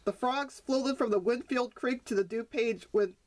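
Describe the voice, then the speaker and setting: A woman's voice: a little high, moderate pace, darkish tone. A woman reading her own picture book aloud as a storyteller, now and then stumbling and correcting herself for young listeners. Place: indoors at a table over the open book.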